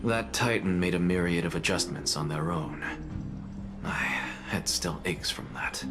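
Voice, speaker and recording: An actor playing a haughty, bitter scholar with a dry, measured, sardonic way of talking in a game. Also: male voice, deep voice, over music.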